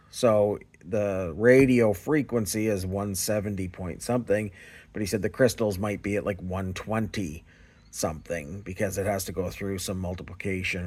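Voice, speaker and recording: A man with a fairly low voice hosts a casual show-and-tell video. He explains haltingly, often sounding unsure of the details as he goes. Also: darkish tone. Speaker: video host.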